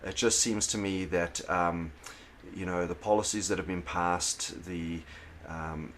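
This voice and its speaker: A middle-aged South African pastor, talking in a relaxed interview, thoughtful and pausing with fillers and restarts. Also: male voice, low voice, slight background noise. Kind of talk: casual interview conversation.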